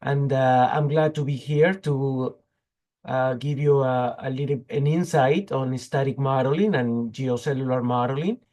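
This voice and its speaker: A man in his fifties, hoarse from a cold, giving an informal technical talk over a video call, halting with many fillers. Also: medium pitch, fairly even intonation, darkish tone. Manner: halting, frequent fillers and restarts. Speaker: guest speaker, petroleum geologist.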